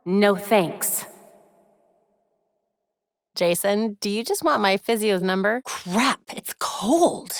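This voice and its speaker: A woman's voice: mid-range voice, no room sound, clean studio sound. A woman playing a young female superhero in a video game, witty, determined and brainy, with a wry conversational delivery. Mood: wry, playful.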